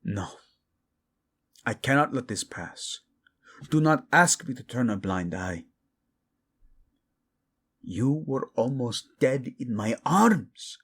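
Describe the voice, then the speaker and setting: A man's voice: a little high, husky, heavily acted. A man playing a proud, vengeful young king, fiercely protective of his wife, in a dramatic romance audio roleplay. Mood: anguished, angry. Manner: brooding, commanding, tender in endearments.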